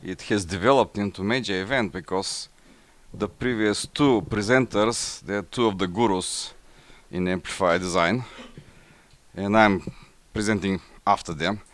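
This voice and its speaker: Deep-voiced Bulgarian man presenting. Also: unhurried, no room sound. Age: middle-aged. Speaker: conference presenter, engineer. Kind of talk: technical slide presentation. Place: indoor forum room, seated at a table before a banner.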